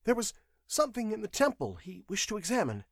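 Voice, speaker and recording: A man voicing a cowardly, frightened clerk in an audiobook, shrill, pleading and stammering with nerves. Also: huge pitch swings, brisk, dry recording, clean studio sound, heavily acted. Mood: cowed, whimpering. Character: whimpering terrified clerk, a weak cowardly man.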